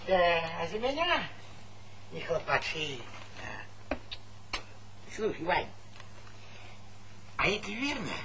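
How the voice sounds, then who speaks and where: Animated, garrulous old village grandpa. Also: male voice, high-pitched, slow, pauses often, heavily acted. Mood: teasing. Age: older. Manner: garrulous, folksy, needling, chuckling interjections. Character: talkative, teasing old village pensioner, needling and opinionated. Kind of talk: Soviet stage comedy acting. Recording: noisy 1970s theatre recording.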